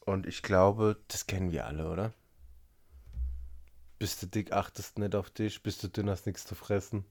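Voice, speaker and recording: A man's voice: sits low, fairly even intonation, moderate pace, a little mellow. A man co-hosting a casual podcast, joking and laughing often and trailing off mid-sentence. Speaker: podcast co-host. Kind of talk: casual chat.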